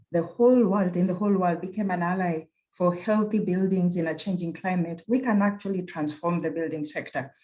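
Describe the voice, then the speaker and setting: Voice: medium pitch, darkish tone, low-quality recording. A speaker from Kenya with a Kenyan accent, giving an earnest, reflective talk at a virtual conference as a university program director, often circling back to rephrase a point.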